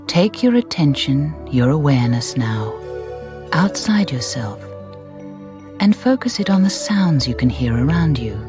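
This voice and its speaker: A woman narrating a guided meditation in a soft, breathy voice, soothing and deliberate, with gentle phrasing and pauses. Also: low-pitched, sing-song. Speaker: relaxation guide. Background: music.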